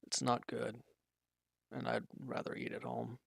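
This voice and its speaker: A man playing an injured, weary villain in a roleplay audio drama, guarded and quietly considerate, speaking in halting, hesitant lines. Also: sing-song, gravelly, no echo, clean studio sound, exaggerated voice. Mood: weary, reluctant. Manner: hesitant, halting, low-key, grudging. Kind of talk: roleplay audio drama, intimate one-sided dialogue.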